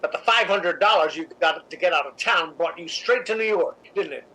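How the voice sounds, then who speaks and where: A woman's voice: on the low side, a little fast, sharp tone, slightly rough, rough recording. A woman playing a cold, cynical, domineering theatre columnist in a radio drama, speaking in an imperious, articulate way. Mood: cold, menacing. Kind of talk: 1950s radio drama acting.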